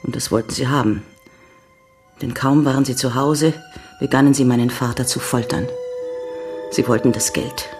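An actress playing a grave young American woman giving measured, sombre testimony in a German radio crime drama. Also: very deep voice, fairly even intonation, over music. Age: young adult. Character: grave young American woman recounting her father's betrayal. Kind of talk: German radio play acting.

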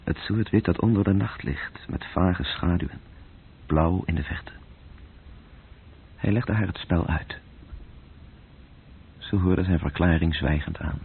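Deep, gravelly, breathy male narrator. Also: a little slow, long pauses, slight background noise. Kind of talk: scripted literary radio drama narration. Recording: muffled, tinny old radio-play recording.

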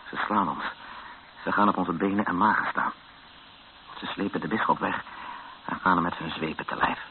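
An actor playing a grave captive who narrates his ordeal in a radio drama in a measured way. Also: low voice, natural voice. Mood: grave, tense. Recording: muffled lo-fi radio recording with hiss.

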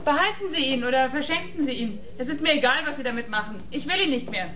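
An actress in a radio play voicing a sceptical, sober journalist in a reserved, matter-of-fact way. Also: female voice, high voice, expressive, quick pace, bright, forceful tone. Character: sober, sceptical female journalist interviewing a scientist. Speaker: radio drama actor.

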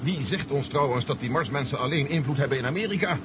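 A man's voice: mid-range voice, fast, close to an everyday voice. A man acting a sober, shrewd, decisive adventurer in a radio drama. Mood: questioning, practical. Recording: muffled, noisy old radio recording.

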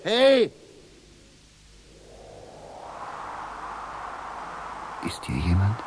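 An actor playing a weary, freezing wanderer who muses theatrically to himself in a radio drama. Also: male voice, medium pitch, wildly swooping pitch, unhurried. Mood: fed up. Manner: theatrical musing, talking to himself. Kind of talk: German radio drama acting, soliloquy. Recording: noisy 1970s radio drama recording.

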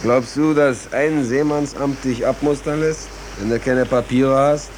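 An actor in a 1940s German radio drama playing a world-weary, wry stateless coal trimmer, plain-spoken and fatalistic. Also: male voice, medium pitch, fairly even intonation, unhurried, natural voice. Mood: resigned. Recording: old radio recording, faint noise.

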